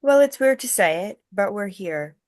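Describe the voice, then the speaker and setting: A woman acting a polite, earnest tour guide, measured and explanatory, in a film script read. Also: mid-range voice, lively intonation, darkish tone, dry recording, studio quality, lightly acted. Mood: solemn, earnest.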